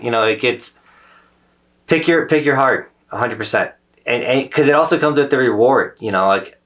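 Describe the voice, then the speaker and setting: A man's voice: low-pitched, a bit bright. A man in his thirties co-hosting a podcast, talking in a casual, earnest and reflective way, sometimes losing his train of thought. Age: 30s. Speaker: podcast co-host. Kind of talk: casual chat.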